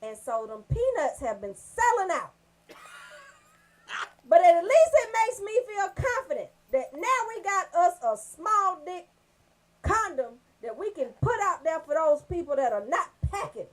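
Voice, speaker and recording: Brief: female comedy radio host, high voice with big swings, brash and emphatic, riffing through raunchy banter. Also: unhurried, low-quality recording. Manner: brash, animated storytelling with emphatic check-ins.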